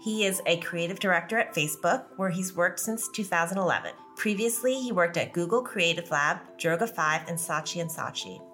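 Woman, host, bright tense tone, lowish voice, faint music behind. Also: a little fast, hardly any pauses. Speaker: podcast host. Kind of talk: scripted introduction then interview questions.

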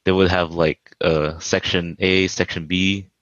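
Deep-voiced young man, hesitant. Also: flat delivery, a little slow, fairly bright tone, dry recording. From Philippines. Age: young adult. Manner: hesitant, short replies, laughs often. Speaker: podcast guest. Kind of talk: casual chat. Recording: dull dry sound.

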